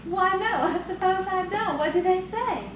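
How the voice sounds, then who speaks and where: A woman's voice: very high voice, moderate pace. An actress playing a sweet, coy young country belle in audio theatre, girlish and demure. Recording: distant, echoey, noisy stage recording.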